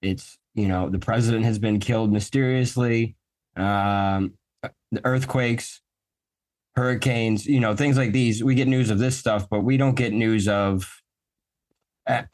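Man hosting a political podcast interview, easygoing, low-pitched, filler-heavy chat. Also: fairly even intonation, measured pace, a little mellow. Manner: loose, conversational, with fillers. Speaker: podcast host.

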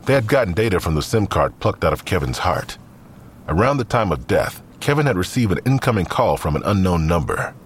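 A man's voice: low voice, very animated, a little fast, slight background noise. A man reading scripted third-person narration for an audio drama.

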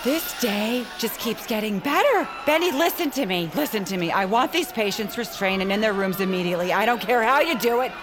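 An actress playing a short-tempered doctor in charge of a facility, commanding and exasperated, in an audio drama. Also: female voice, a little high, expressive, a little fast, few pauses, lightly acted. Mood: commanding, irate.

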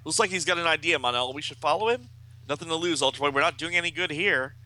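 Anguished teenage comic book hero, played by a man: high, bright and forceful, melodramatic, halting, urgent. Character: anguished teenage male superheroes crying out urgently. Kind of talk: dramatic comic book read-aloud.

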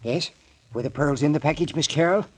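An actor playing an irascible, suspicious older mayor in a 1940s radio drama, snapping out demands. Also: male voice, fairly high pitch, fairly quick, husky. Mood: snappish, demanding.

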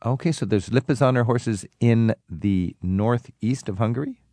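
A man hosting a travel radio show, asking curious quick follow-up questions in a conversational interview. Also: medium pitch, warm tone, dry recording, clean studio sound.

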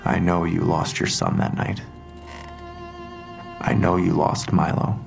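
An actor playing a calm, blunt police detective who questions someone in a measured, grave manner. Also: male voice, low voice, vocal fry, exaggerated voice. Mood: grave, pressing. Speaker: police detective in an audio drama. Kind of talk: dramatic interrogation dialogue. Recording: music under the voice.

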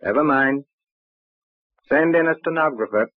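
An actor playing a brisk, authoritative police inspector in 1940s radio drama, clipped and matter-of-fact. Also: male voice, mid-range voice, no room sound, natural voice. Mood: brisk, stern. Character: brisk, commanding male police inspector questioning suspects. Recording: muffled, narrow old radio recording.

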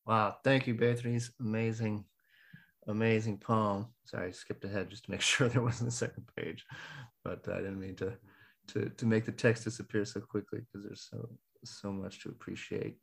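A man with a very low, gravelly voice introduces poets at a reading. His talk is husky and loose, full of fillers and restarts. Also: level tone. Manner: chatty, halting, many fillers and restarts. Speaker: open mic host. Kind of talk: casual hosting of a poetry reading.

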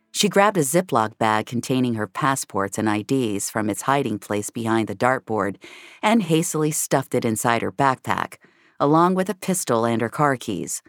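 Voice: medium pitch, no room sound, clean studio sound. A narrator reading scripted audio fiction in a wry, hard-boiled storytelling manner.

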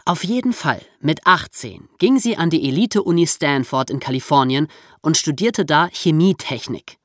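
Low-pitched woman, animated podcast host. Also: moderate pace, dry recording, studio quality. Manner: explanatory, storytelling with casual asides. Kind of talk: conversational explainer podcast for young listeners.

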